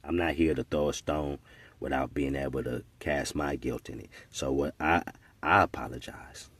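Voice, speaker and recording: A man's voice: very deep voice, moderate pace, fairly bright tone, dry recording. A man in his forties giving an earnest, preachy, unscripted monologue, often checking in with "right?". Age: 40s. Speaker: podcast commentator.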